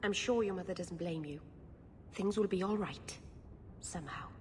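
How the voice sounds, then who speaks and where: A woman's voice: fairly low pitch, moderate pace, darkish tone, a little hiss or hum, lightly acted. A woman voicing a kind-hearted, naive young woman in a video game, sincere and earnest. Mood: concerned. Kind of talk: video game dialogue acting.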